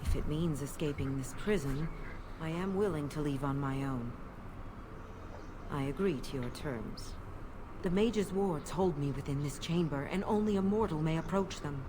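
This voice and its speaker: A woman voicing a low, silky demon cat. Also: normal speed, noisy background, lightly acted. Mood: coolly bargaining. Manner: silky, formal, coaxing. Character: seductive female demon posing as a talking cat. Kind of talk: video game character acting.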